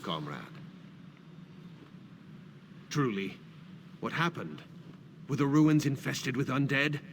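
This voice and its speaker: An actor playing a devout, stalwart guild warrior in a fantasy video game, with formal, declamatory phrasing. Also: male voice, mid-range voice, background noise. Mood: curious, companionable. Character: devout male guild warrior, hearty, stalwart and duty-bound.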